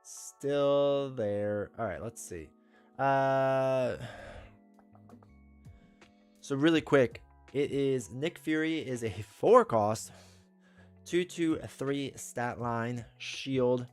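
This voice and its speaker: A man's voice: medium pitch, extremely slow, long pauses, a little mellow, smooth, soft background music. A man with a stuffed-up voice from a cold, hosting a casual live stream. He talks in a rambling, opinionated way, often restarting his sentences as he reads and answers chat. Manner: rambling, self-correcting, opinionated. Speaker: streamer host.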